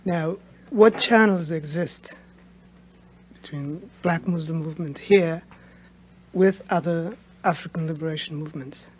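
A man's voice: fairly high pitch, measured pace, slight background noise. A young man from Somalia in a studio audience, asking a question at a stand mic, hesitant and searching for words. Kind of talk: unscripted question.

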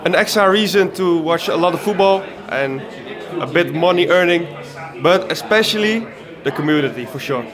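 Dutch man answering in a loud crowd. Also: sits high, lively intonation, moderate pace. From Netherlands. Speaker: interviewee.

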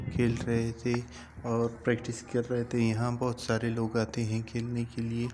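A man in his thirties, a travel vlogger, walking a cricket ground and talking to a phone camera at arm's length in an unhurried, repetitive way. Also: mid-range voice, noisy background. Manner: flat, steady and unhurried, with many repeats. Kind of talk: casual walking vlog. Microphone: phone camera held at arm's length.

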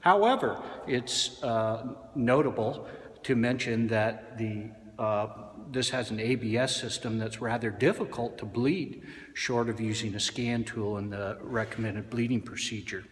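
A man's voice: medium pitch, measured pace. A man in his forties, a car mechanic, explaining brake service in a casual how-to style, filming himself on a phone in his repair shop. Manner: plain-spoken, frequent "uh" fillers. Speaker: auto mechanic. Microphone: handheld phone camera at arm's length. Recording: reverberant shop room.

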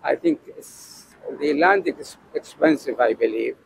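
An older man with a gravelly, creaky voice, speaking a little higher than most men. He answers slowly and haltingly, searching for his words. He is outdoors on a city street, talking into a handheld mic with faint street sound behind him. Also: animated, background noise. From Saudi Arabia. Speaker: interviewee. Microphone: handheld mic held toward him.

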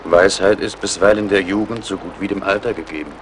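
Battle noise is clear behind a fairly low male voice. A wise, watchful warrior acted by a man speaks in a measured, solemn and formal way, in radio play style. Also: fairly even intonation, lightly acted. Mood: grave, watchful. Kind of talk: German radio play acting.

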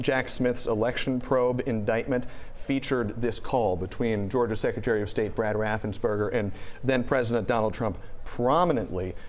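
An American man in his thirties explaining news live on air as a TV reporter, in a measured, careful manner. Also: mid-range voice. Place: television news studio.

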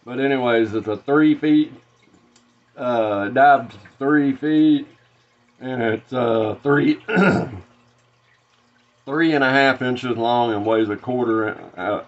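A Southern man from Arkansas in his thirties, unboxing fishing lures at a desk in a small tackle-packed room. He drawls along very slowly, stopping often for "uh" and starting over. The webcam sound is a little dull and lo-fi. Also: medium pitch. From Arkansas, USA. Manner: slow, rambling, many "uh" fillers and restarts. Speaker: fishing channel host. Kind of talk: casual unboxing vlog.

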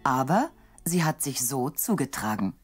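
A woman's voice: rumbling low, very animated, unhurried, soft background music, heavily acted. A woman narrating an audio drama in a scripted storytelling style.

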